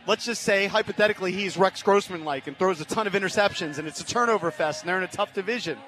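High-voiced man on sports radio. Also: fast, a bit bright. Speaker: radio show guest. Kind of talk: live sports radio talk. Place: live event venue.

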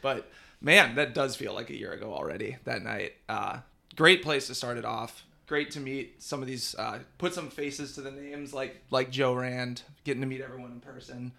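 A male podcast guest in a living room, speaking at middle pitch. His voice is gravelly and bright, and hoarse from losing it. He talks in a laid-back, easygoing way. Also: normal speed. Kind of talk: casual chat.

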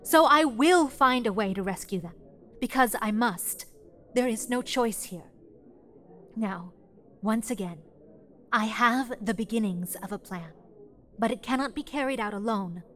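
A woman's voice: fairly high pitch, unhurried, long pauses, close to an everyday voice. An actress playing an earnest, determined young woman in a fantasy audio drama, speaking in a precise, formal, insistent way. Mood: grave, resolute.

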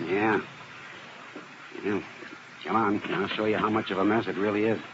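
An actor playing a methodical fire investigation specialist in a vintage radio drama, matter-of-fact and explanatory. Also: male voice, on the low side, fairly even intonation, normal speed, close to an everyday voice. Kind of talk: 1950s radio drama acting. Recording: muffled, hissy vintage radio broadcast.

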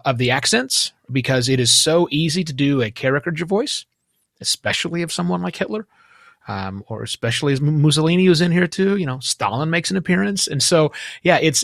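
A man's voice: on the high side, a bit bright, no echo. Dry-miked male podcast host. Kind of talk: conversational interview commentary.

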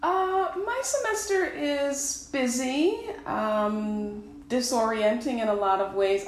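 Measured woman professor in an echoey room. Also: mid-range voice, slight background noise. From Dutchess County, New York. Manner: thoughtful, measured, with frequent fillers. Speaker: professor guest. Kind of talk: podcast interview.

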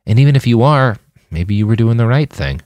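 A man's voice: medium pitch, animated, a little fast, a little mellow, no echo, voice pushed up, lightly acted. A man voicing a weary, grieving young man in an audiobook, blunt and defiant in his plain speech.

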